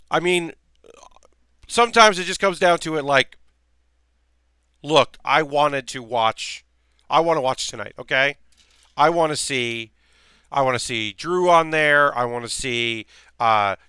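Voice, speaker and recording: Guy streaming from his desk, bright crisp voice a bit worn out, rambly with lots of ums, dry close sound. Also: mid-range voice, no echo. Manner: rambling, chatty, many fillers and restarts. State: slightly losing his voice. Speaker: streamer building model kits. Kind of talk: casual live-stream chat while building.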